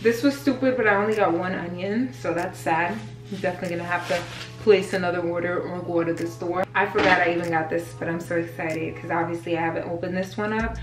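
A woman's voice: lowish voice, fairly even intonation, fast talker. A young woman in her twenties chatting playfully to the camera in a casual grocery haul vlog, filmed in a small home kitchen on the camera's own mic. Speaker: vlogger. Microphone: camera mic at close range. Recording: echoey kitchen with faint music.

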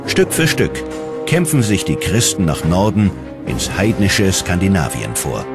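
A man's voice: deep voice, huge pitch swings, a little slow, loud music behind the voice. A man reading scripted documentary narration in a dramatic storytelling manner.

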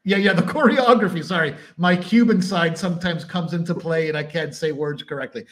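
A man's voice: a little high, brisk. A man hosting a podcast over a video call, asking eager, enthusiastic questions. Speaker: podcast host. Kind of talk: casual video call interview.

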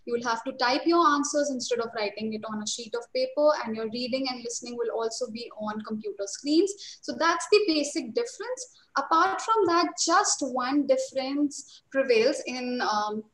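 A woman's voice: high voice, animated, moderate pace, fairly bright tone. A young woman with an Indian English accent, teaching a webinar as a trainer and explaining steadily and reassuringly over a video call. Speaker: IELTS trainer. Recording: roomy video call audio.

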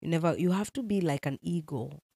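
A woman's voice: low voice, a little fast, gravelly, husky, no room sound. A young Kenyan woman with a Kenyan accent, a gospel musician and native Swahili speaker, talking animatedly as an interview guest. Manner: animated, mixing in Swahili phrases. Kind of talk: casual interview.

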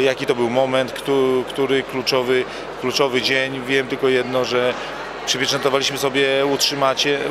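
A man's voice: mid-range voice, background noise. A man in his forties, a football coach, answering interview questions at an evening gala into a handheld mic, often repeating words as he starts a phrase. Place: hotel bar at an evening gala, indoors. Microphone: handheld mic held by interviewer.

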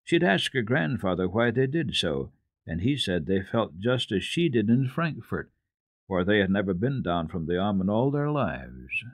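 Low voiced guy reading a kids book like a radio storyteller, very animated and dramatic. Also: normal speed, dry recording, studio quality. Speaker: storyteller, children's radio host. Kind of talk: dramatic children's book narration.

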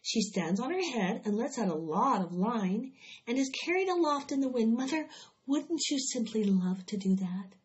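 An eager, imaginative little farm girl, voiced by a woman reading a storybook. She talks earnestly, high and lively, insisting on every tale. The recording sounds dull and lo-fi. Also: moderate pace, lightly acted. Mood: insistent.

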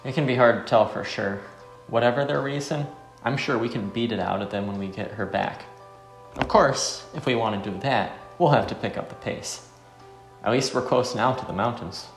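A man's voice: medium pitch, moderate pace, vocal fry, reverberant, faint music behind, close to an everyday voice. A man voicing a polite fighter who is eager for battle, with formal phrasing, in a visual novel game. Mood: eager, determined.

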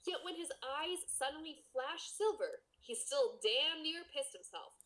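A woman podcast co-host reading a story aloud casually, with playful, expressive banter. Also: high-pitched, unhurried, poor audio.

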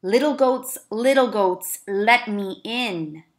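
A woman voicing a greedy, menacing male storybook wolf, growling out loud, drawn-out threats. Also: fairly high pitch. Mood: coaxing, menacing.

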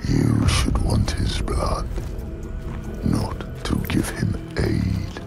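A man voicing a menacing shadow spirit in a video game, with a gravelly growl and a slow, taunting delivery. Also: rumbling low, very animated, over loud music, full character voice. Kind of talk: dramatic video game acting.

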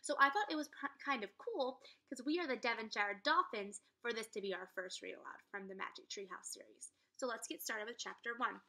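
A woman's voice: on the high side, fairly quick, vocal fry, few pauses. A young woman teacher in her twenties reading a chapter book aloud to children at home, stopping to explain words. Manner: animated storytelling, explaining words as she reads. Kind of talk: read-aloud lesson for children. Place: living room at home. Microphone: laptop webcam at close range.

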